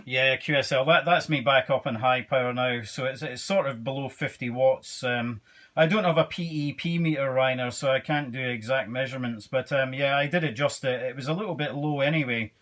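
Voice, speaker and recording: A man talking casually as an amateur radio operator, with halting phrasing and radio procedure words. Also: mid-range voice, fairly bright tone. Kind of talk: casual ham radio exchange.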